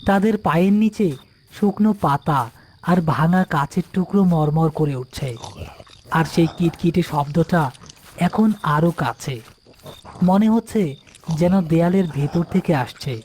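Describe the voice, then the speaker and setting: A man's voice: on the high side, a little slow, long pauses, a little mellow, slight background noise. A man narrating a scripted horror story as its storyteller. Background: background music.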